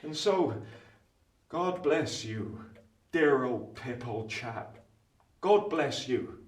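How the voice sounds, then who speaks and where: A man's voice: medium pitch, lively intonation, very slow. A man acting a humble, kind-hearted country blacksmith in a radio play, earnest and homely in his turns of phrase. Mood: tender farewell. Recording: roomy echo, distant from mic.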